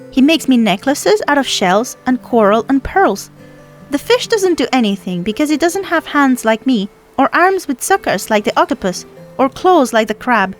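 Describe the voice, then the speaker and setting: A woman voicing a tiny, proud and affectionate girl from the sea in a storybook, chatty and childlike. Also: high-pitched. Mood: eager, proud. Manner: chatty, childlike, matter-of-fact boasting. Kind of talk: storybook character voice.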